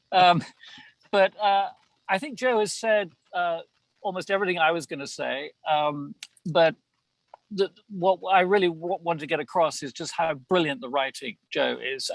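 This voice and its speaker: A man's voice: on the high side, gravelly, a bit bright, no echo, low-quality recording. A man chatting casually and effusively as an interview guest from inside a car, often repeating words as he goes. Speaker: lead voice actor of an audio theater show, podcast guest. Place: moving car, as a passenger.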